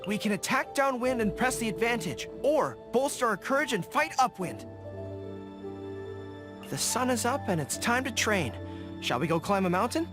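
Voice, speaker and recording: A man's voice: very high voice, big pitch swings, normal speed. A man voicing an earnest, dutiful young general with dog ears in a video game, speaking with military directness and easily flustered. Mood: alert, brisk. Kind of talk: scripted game voice lines. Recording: music under the voice.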